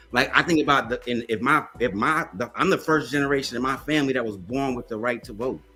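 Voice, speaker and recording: A man's voice: mid-range voice, fairly quick, pushed and bright, faint music behind. A man speaking with passion in a panel discussion, emphatic and repeating phrases for force. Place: online video panel.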